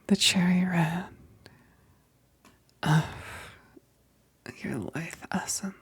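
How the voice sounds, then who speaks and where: A woman playing a hungry, seductive vampire best friend in an intimate ASMR roleplay, mostly whispering in a slow, teasing way, close to the mic. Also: fairly low pitch, steady tone, husky, dry recording, heavily acted. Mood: hungry, reminiscing. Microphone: close, dry microphone.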